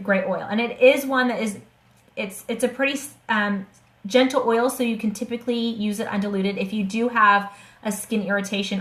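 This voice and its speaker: A woman's voice: medium pitch, fairly bright tone. Chatty, enthusiastic woman in an echoey room. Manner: enthusiastic, chatty, many restarts and fillers. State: hoarse. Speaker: essential oils seller hosting a webinar. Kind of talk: casual live-stream sales talk.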